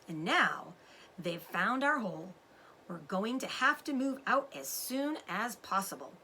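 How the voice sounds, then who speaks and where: A woman's voice: medium pitch, big pitch swings, a little slow, pushed and bright, a little hiss or hum, exaggerated voice. A woman voicing a brave, commanding father fox in a storybook, with urgent, emphatic delivery. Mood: glum, musing.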